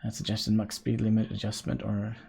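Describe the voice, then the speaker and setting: A man's voice: on the low side, monotone, a little fast, gravelly, warm tone, breathy edge. A man from Norway, chatting casually to his live-stream viewers while he plays, laughing often. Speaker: game streamer.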